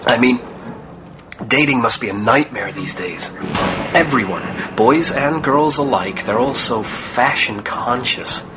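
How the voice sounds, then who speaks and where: A man's voice: medium pitch, takes it slowly, lo-fi sound, close to an everyday voice. A man playing a droll priest over noisy ambience. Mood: wry. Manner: droll, gently teasing. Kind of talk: radio play acting. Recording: clearly noisy background ambience.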